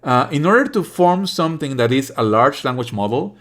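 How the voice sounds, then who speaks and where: A man's voice: mid-range voice, lively intonation, fairly quick, a bit bright. Opinionated male streamer giving game commentary. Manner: sweary, scornful, opinionated. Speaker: livestreamer playing and commenting on a game. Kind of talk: casual live game commentary.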